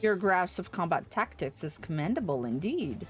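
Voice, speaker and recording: A woman voicing a formal, encouraging combat instructor from a fantasy video game, reading the lines in a measured way. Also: on the low side, sing-song, faint music behind, pitched below the performer's own voice. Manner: measured, formal read-aloud delivery.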